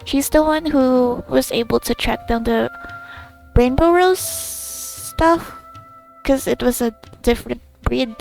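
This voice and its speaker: A woman's voice: high voice, takes it slowly, gravelly, darkish tone, poor audio. A woman giving casual let's play commentary, reacting to a game story in a giggly, gushing, think-aloud way. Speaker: gamer reacting to a story quest. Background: faint game music.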